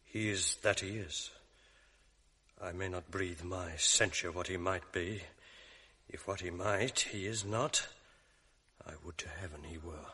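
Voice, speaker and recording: A deep, guarded schemer. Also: male voice, sharp tone, husky, heavily acted. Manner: guarded, measured and evasive. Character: scheming, guarded male ensign. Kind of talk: 1980s radio drama acting. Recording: long reverb of a large room.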